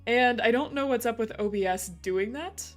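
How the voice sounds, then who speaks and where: A woman streamer with a slightly hoarse voice, chatting casually and giggling as she talks to her chat. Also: a little high, moderate pace, soft background music.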